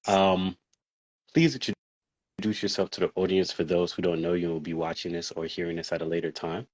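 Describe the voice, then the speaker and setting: A man's voice: low voice, fairly even intonation, brisk. A man hosting an interview in a laid-back, friendly way with fillers, a bit tired. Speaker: interview host. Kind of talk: casual interview over a call. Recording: dull lo-fi audio.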